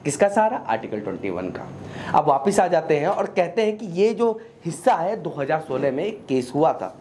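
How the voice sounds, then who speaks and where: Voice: medium pitch, big pitch swings, normal speed, warm tone. A news presenter explains to viewers in a didactic way, posing questions and answering them. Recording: clearly noisy background.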